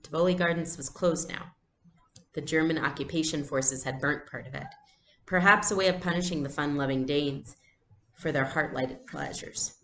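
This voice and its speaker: A woman's voice: deep voice, moderate pace, a bit bright. A woman reading a storybook aloud expressively, like a teacher, in an indoor room. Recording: echoey room, faint background noise.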